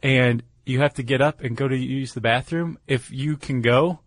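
A man's voice: mid-range voice, moderate pace, no room sound. A man with an American accent chatting casually as a podcast co-host, explaining things with wry, joking asides.

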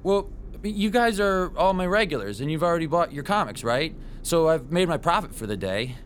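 A man's voice: on the high side, lively intonation, normal speed, a little hiss or hum, lightly acted. A man playing a timid, geeky comic shop owner with a nervous, hesitant manner in a radio drama. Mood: evasive.

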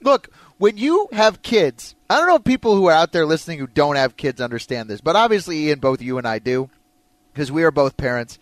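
A man's voice: fairly high pitch, sing-song, a little fast, no echo. A man hosting a sports talk radio show in a casual, bantering style.

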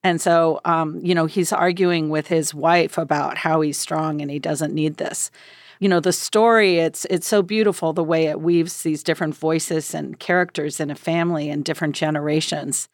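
Low-pitched woman, dry close sound. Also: steady tone, moderate pace, no echo. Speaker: arts nonprofit director, interview guest. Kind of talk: conversational interview explanation.

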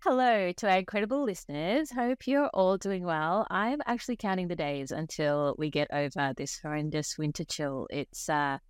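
A woman's voice: fairly low pitch, moderate pace, few pauses, clean tone, no echo, studio quality. A woman hosting a pharmacy podcast, upbeat and bubbly, laughing often with her guest. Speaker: podcast host. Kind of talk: podcast interview.

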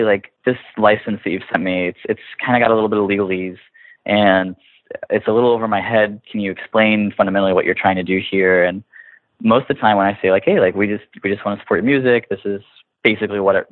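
Low-voiced man on a phone-like line. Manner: informal, illustrates points with imagined quotes. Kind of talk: casual conversational interview talk. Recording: narrow phone-like line with room echo.

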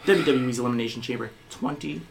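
A man co-hosting a casual podcast, his voice sitting at an ordinary middle pitch. He banters playfully and hypes himself up, clearing a slight tickle in his throat now and then. A faint hiss of noise hangs behind him. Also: fast talker. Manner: playful, boastful self-introductions. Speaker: podcast co-host.

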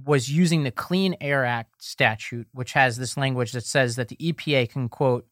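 Man, podcast co-host, mid pitch, explanatory, dry close sound. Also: normal speed, fairly bright tone, no room sound. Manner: explanatory, walks through legal details step by step. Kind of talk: casual political podcast chat and ad read.

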